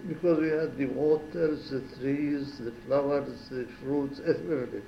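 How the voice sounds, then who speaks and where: Older man in his 70s from Syria, retired diplomat, slow and reflective, many restarts, echoey room. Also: medium pitch, fairly even intonation, vocal fry, dull audio, a little hiss or hum. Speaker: former ambassador, interviewee. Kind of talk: oral history interview. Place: home.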